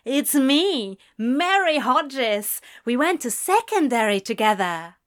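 A woman voicing a gushing, falsely cheerful woman who is loud, pushy and overly bright. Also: fairly high pitch, animated, slow.

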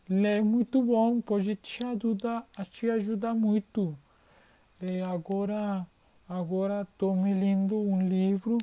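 A performer putting on a bright young boy from Argentina who is still learning Portuguese. He speaks slowly and haltingly, in a mellow tone, and repeats words while he hunts for the next one. His voice sits well above the performer's usual pitch. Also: natural voice.

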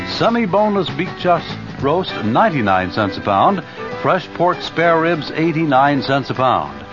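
A man's voice: a little high, lo-fi sound. A man reading a scripted supermarket commercial as a radio announcer. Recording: band-limited 1970s radio broadcast with music.

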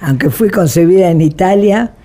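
A woman of eighty-eight with an Argentine accent, reminiscing in a digressive, halting way as an interview guest. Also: fairly low pitch, animated, dark tone, soft background music. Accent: Argentine Spanish. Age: 88 years old. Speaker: interview guest, president of a human rights association.

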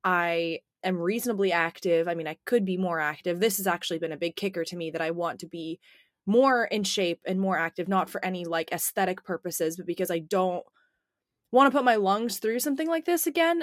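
Twenties gal on a podcast, bit raspy from being sick, rambly, lots of "like", dry close mic. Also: female voice, fairly low pitch, fairly quick, dry recording. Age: 20s. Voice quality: slightly raspy. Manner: chatty, lots of "like" and restarts. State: slightly raspy, recovering from a cough. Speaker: podcast co-host. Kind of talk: casual chat.

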